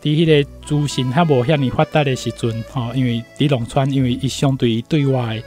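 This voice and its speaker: A man's voice: medium pitch, steady tone, fairly quick, a little mellow, faint music behind. A man with a Taiwanese accent gives informal commentary, retelling and explaining a story with frequent tag particles at the ends of phrases. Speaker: guest commentator, university professor.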